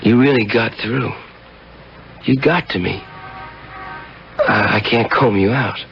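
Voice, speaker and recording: A man's voice: on the low side, slow pace, vocal fry, slight background noise. An actor playing a cocky, slangy car dealer who narrates his own radio drama story in a wry, hip tough-guy manner. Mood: uneasy. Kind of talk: 1970s radio drama acting and first-person narration. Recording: dull old radio broadcast, music behind.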